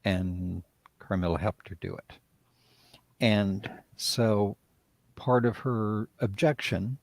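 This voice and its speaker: A man's voice: fairly low pitch, a little mellow, no room sound. A man, a writer and director, speaking reflectively in a live-stream interview and often trailing off mid-thought.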